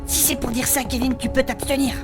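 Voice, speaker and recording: A woman's voice: high voice, steady tone, a little fast, over music, close to an everyday voice. A woman playing a young adventurer, protective and firm but easily exasperated, in comedic audio fiction. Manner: caring but scolding.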